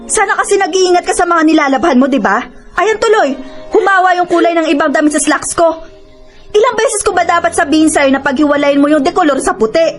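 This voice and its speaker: A woman's voice: very high voice, fairly quick, faint music behind. An actress playing a strict middle-aged aunt in a radio drama, nagging her niece in exasperated, punishing scolding. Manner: sharp, exasperated nagging with rhetorical questions.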